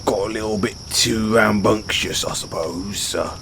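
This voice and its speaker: A man's voice: on the low side, steady tone, background noise. A man playing a big, brash orc barbarian in a fantasy audio roleplay, speaking colloquially straight to the listener. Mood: sheepish. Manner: colloquial, halting when defensive. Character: big, brash male orc barbarian, rambunctious but sheepish. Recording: nature ambience with crickets behind. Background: outdoor night ambience, crickets.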